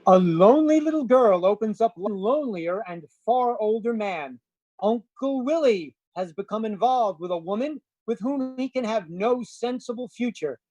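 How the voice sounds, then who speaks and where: A woman's voice: a little high, animated, normal speed, mellow, muffled recording, natural voice. A woman acting a portentous old-time radio narrator, reading scripted dramatic narration.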